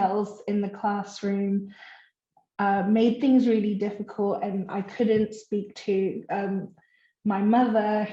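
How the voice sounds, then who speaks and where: A woman's voice: mid-range voice, warm tone. A woman in her twenties, raised in the Philippines and a native Tagalog speaker, speaks openly and reflectively as a panel guest, with frequent ums. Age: 20s. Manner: open, reflective, with many ums. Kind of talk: video panel discussion. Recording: roomy, dull-sounding audio.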